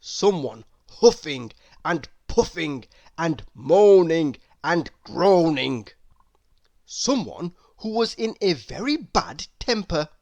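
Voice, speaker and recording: A woman narrating a children's story in an expressive, emphatic read-aloud style. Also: deep voice, slow pace, no echo. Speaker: storybook reader.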